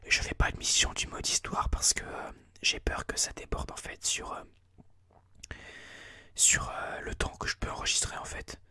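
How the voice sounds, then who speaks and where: A person whispering informal, explanatory ASMR gameplay commentary in a breathy, unvoiced voice, a little hesitant, close on a binaural mic. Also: moderate pace, pushed and bright. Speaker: ASMR gaming video host. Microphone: close binaural microphone.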